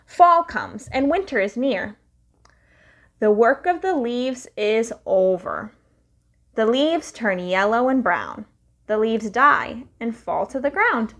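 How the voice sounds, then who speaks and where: Young woman reading picture books aloud for kids, sing-song and unhurried. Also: fairly high pitch. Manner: slow, lively, sing-song storytelling. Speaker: children's storytime reader.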